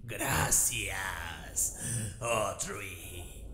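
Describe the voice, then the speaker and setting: A man's voice: sits low, very slow, slight background noise, exaggerated voice. A man with a Latin American Spanish accent voicing a sinister old janitor in a video game fan dub, eerily soothing and menacingly courteous. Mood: creepily soothing. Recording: echoey, under loud music.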